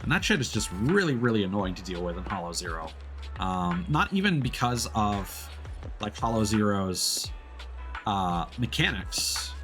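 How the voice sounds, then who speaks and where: A man giving casual, off-the-cuff commentary as a livestreamer, thinking aloud in a halting way. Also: fairly low pitch, a bit bright. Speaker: livestreamer, gamer. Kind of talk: casual gaming stream commentary.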